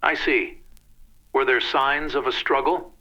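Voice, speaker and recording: A man acting as an eager, polite detective in an old-time radio play, deadpan in his questioning. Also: medium pitch, measured pace. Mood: businesslike. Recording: dull, band-limited radio-style recording.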